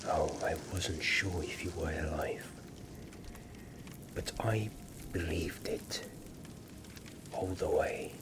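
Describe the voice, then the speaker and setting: A man playing a weary, gentle war companion in a fantasy ASMR roleplay, whispering softly and reassuringly with long pauses. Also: low-pitched, background noise. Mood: solemn, relieved. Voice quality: soft, breathy whispering.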